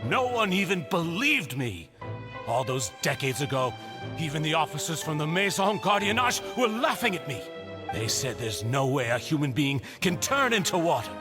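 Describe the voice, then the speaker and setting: A desperate, grief-crazed game villain, theatrical and obsessed. His voice runs slightly high and swings wildly, with slow, drawn-out anguish, over loud music. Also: big pitch swings. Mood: anguished outrage. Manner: theatrical, unhinged, anguished delivery. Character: desperate grief-maddened male villain obsessed with lost lover. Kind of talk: dramatic video game voice acting. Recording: music under the voice.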